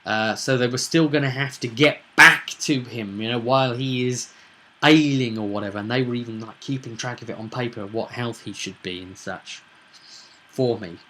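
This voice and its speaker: Male game master, mid-pitched voice, casual storytelling recap, halting delivery with weighty pauses. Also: pushed and bright, a little hiss or hum.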